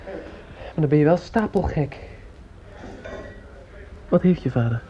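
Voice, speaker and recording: An actor playing an earnest, insistent young man in a radio drama, with a hesitant, halting delivery. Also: a little high, animated. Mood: hurt, insistent. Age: young adult. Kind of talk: naturalistic radio drama acting. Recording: dull old radio play recording.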